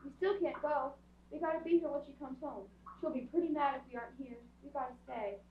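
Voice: high-pitched, fairly quick, recorded from a distance. A performer playing a stubborn, plain-spoken little girl in a stage radio drama, answering bluntly. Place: small theatre stage, recorded from audience camera. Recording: muffled, noisy, echoey stage recording with hum.

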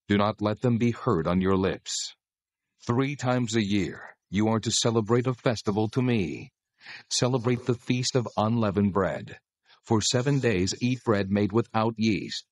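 A commanding divine lawgiver, low voice, solemn n measured, close dry audio bible drama. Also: male voice, no room sound. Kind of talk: dramatized scripture reading.

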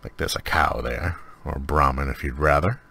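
Very low male voice, laid-back, conversational, casual game commentary, host. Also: moderate pace, vocal fry, pushed and bright. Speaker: host of a game mod showcase.

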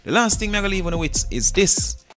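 A man's voice: sits high, lively intonation, moderate pace, fairly bright tone. A man with a Jamaican accent hosting an entertainment news show, reading out stories and comments with his own commentary. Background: music.

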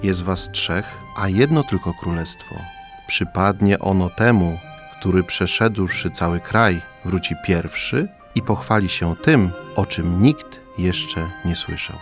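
An actor playing a solemn old king in a fairy-tale radio play, speaking in a measured, fatherly, commanding way. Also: deep voice, soft background music. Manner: measured, stately.

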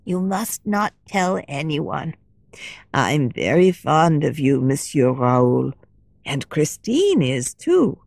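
A woman voicing a simple, fond old woman who speaks calmly and cheerfully in a high, lilting way. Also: slow pace, creaky, dry recording, exaggerated voice. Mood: fond, confiding.

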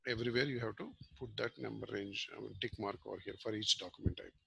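A man giving an informal screen-share software tutorial as a trainer, explaining step by step in halting short phrases. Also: fairly low pitch, fairly even intonation, vocal fry, breathy edge.

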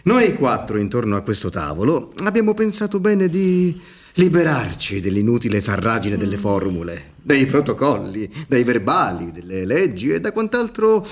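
A man's voice: mid-range voice, sing-song, normal speed. A man acting in a radio drama as a genial, eloquent judge with an orotund, courteous, amused delivery. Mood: genial, grandiloquent. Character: genial, eloquent retired judge, mocking legal formality. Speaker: actor. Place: theatre stage set up for radio play.

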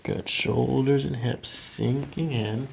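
A middle-aged man with a heavily creaky voice talks a patient through hands-on treatment. He gives short, reassuring cues in a calm ASMR manner, with faint noise behind him in a small office. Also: medium pitch, slow. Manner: soothing, reassuring instructions in short phrases. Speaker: chiropractor. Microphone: microphones on boom stands near the table.

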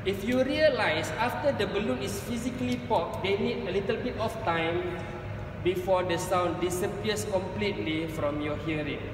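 A man's voice: sits high, normal speed, music in the background. Man lecturing in a very echoey hall. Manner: explanatory, checks in with "okay?". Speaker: conference presenter. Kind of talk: technical conference talk. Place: large reverberant conference hall. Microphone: far camera. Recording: echoey hall recorded from far off.

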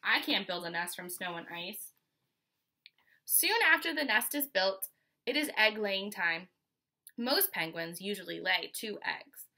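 A woman in her twenties with an American accent reads a children's picture book aloud like a teacher, pausing to explain and ask questions. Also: mid-range voice, expressive, bright, forceful tone. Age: 20s. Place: small furnished room at home, indoors.

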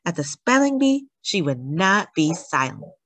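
A woman reading a children's picture book aloud as a storyteller, with deliberate, dramatic emphasis on key words. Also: on the low side, very animated, a bit bright, no echo. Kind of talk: expressive read-aloud narration for children.

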